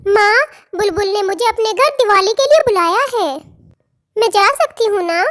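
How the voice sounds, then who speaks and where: A tiny girl bird from a cartoon fable, played by a woman. The voice is extremely high and childlike. She sounds sweet, earnest and a little insistent, like a small child talking to friends and parents. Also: normal speed, a bit bright. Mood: pleading, insistent. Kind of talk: Hindi cartoon story dialogue.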